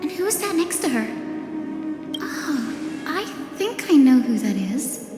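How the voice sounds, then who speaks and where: An actress voicing a sweet, earnest, polite young woman healer in a video game, with a soft delivery. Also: high voice, big pitch swings, takes it slowly, heavily acted. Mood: curious. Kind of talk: video game character acting. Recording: echoey reverb with music behind.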